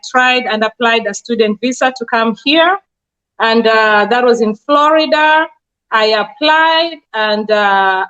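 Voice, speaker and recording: A high-voiced woman from Kenya with a Kenyan accent talks earnestly as an interview guest, explaining at length and pausing on frequent fillers. The sound is dull and lo-fi. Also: fairly bright tone. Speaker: guest, nurse practitioner. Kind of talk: casual interview answers.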